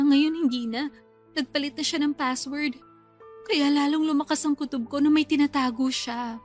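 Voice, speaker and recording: A woman's voice: high-pitched, close to an everyday voice. A woman acting an anxious, hopeful young bride-to-be, confiding emotionally in a Filipino radio drama. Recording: music bed under the voice.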